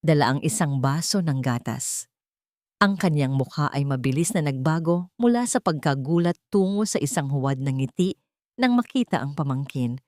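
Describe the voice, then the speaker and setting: Female audio drama narrator, low register, solemn scripted storytelling, dry sound. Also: lively intonation, normal speed, a little mellow, no room sound.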